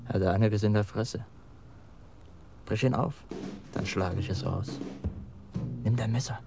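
An actor playing a cocky, mocking young street mugger in a radio crime drama, talking in coarse, taunting slang. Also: male voice, lowish voice, measured pace, gravelly, over music. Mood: menacing, taunting.

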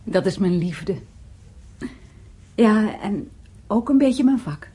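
An actress playing a shy, modest garden designer, speaking softly and hesitantly in a naturalistic radio drama. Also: female voice, mid-range voice, animated, slow, husky. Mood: bashful. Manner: hesitant, tentative.

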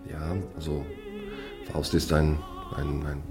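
A man's voice: very deep voice, completely flat delivery, slow, music in the background, full character voice. An actor playing a hesitant man who gropes for words and stammers in a language in a radio play. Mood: hesitant, searching. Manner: stammering, repeats words while searching. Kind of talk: halting improvised-sounding radio play dialogue.

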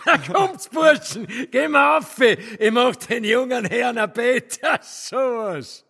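Sinister caretaker, Austrian accent, slow and gravelly, coarse, fawning then threatening, radio play. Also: male voice, very high-pitched, sharp tone, heavily acted. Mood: cackling glee. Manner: muttering, erratic, gushing then threatening. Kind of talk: radio drama acting. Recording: long cavernous reverb, music underneath.

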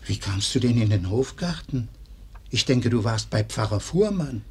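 Concerned householder with very lively intonation. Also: male voice, medium pitch, moderate pace, breathy edge. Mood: puzzled, questioning. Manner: anxious, solicitous, questioning. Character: concerned, kindly householder caring for a hurt youth. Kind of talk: German radio drama acting.

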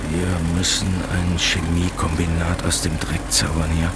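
An actor playing a gruff, exasperated building site boss in a radio play, emphatic and sardonic. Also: male voice, low voice, monotone, a little slow, very noisy. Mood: sardonic, weary. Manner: emphatic, sardonic, swinging from mutter to outburst. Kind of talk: radio play acting over music. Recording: loud music behind the voice.

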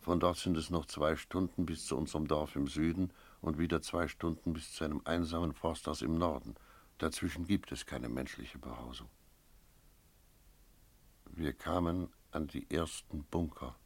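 A sober investigator narrates a radio drama. The voice is extremely deep, with grave, deliberate phrasing and a wary, restrained manner. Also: male voice, fairly even intonation. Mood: sober, tense. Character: sober, wary male investigator recounting a night search. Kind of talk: first-person radio play narration with dialogue.

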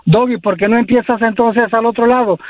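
A man's voice: extremely high pitch, steady tone, a little fast, no room sound. A man from Costa Rica, raised in Los Angeles, calling in to a radio show and rambling about his opinions over a phone line. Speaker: caller. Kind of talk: phone call-in.

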